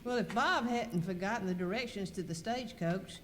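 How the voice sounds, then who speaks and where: A woman's voice: medium pitch, normal speed, fairly bright tone, long reverb tail, lightly acted. A woman playing an impatient, complaining western outlaw in an amateur live radio skit with a noisy recording. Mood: exasperated. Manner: complaining, dramatic. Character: exasperated female outlaw Mary, griping and impatient.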